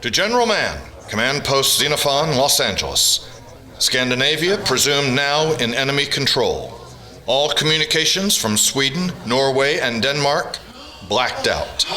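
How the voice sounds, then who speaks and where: A man playing a grave army general in a radio drama, formal, measured and authoritative. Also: mid-range voice, lightly acted. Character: commanding, grave army general briefing officials.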